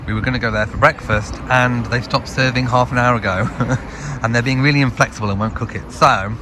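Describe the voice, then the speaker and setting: A man hosting a casual vlog, chatting to viewers and narrating what is around him. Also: medium pitch. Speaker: vlog host. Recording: noisy handheld vlog with crowd and street sounds.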